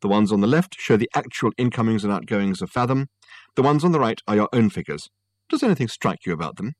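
A man voicing a brisk upper-class mother in an audiobook, sweetly condescending and ruthless. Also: on the low side, animated, dry recording.

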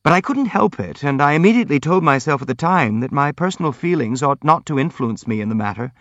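A man voicing a gentle, timid young prince in an audiobook, speaking politely and earnestly. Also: a little high, animated, fast talker, no room sound, lo-fi sound, voice pushed up. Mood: hasty, apologetic. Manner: polite, earnest, hastily apologetic.

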